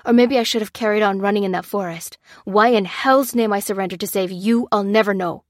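A woman's voice: medium pitch, brisk, dry recording, clean studio sound, natural voice. Woman voicing a furious growling male soldier. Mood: shouting accusations. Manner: growling, ranting, hurling blame. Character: bitter hardened male soldier, furious and accusing. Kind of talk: audiobook character reading.

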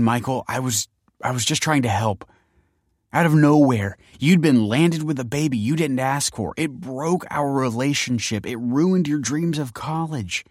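A man's voice: mid-range voice, no room sound, studio quality, pitched above the performer's own voice, lightly acted. A man voicing a distraught, defensive wife who pleads tearfully and justifies herself in a dramatic story reading. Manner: pleading, self-justifying, emotional. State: tearful, sniffing.

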